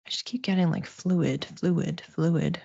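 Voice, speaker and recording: A woman giving an improvised psychic reading as a medium, speaking tentatively and haltingly as she searches for words. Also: fairly low pitch, steady tone, gravelly, dark tone, husky. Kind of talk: intuitive reading, spoken off the cuff to a live chat. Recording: slightly dull, roomy sound.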